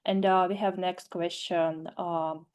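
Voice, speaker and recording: A woman's voice: on the low side, flat delivery, takes it slowly, darkish tone, clear, resonant tone. A woman moderating an online panel, brief and businesslike. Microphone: video call.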